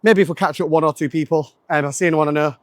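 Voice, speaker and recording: A man's voice: a little high, fairly even intonation, racing, dry recording. A man from Manchester, a business founder chatting casually to camera in a rambling way, full of "um" and "like". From Manchester, England. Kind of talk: casual vlog chat.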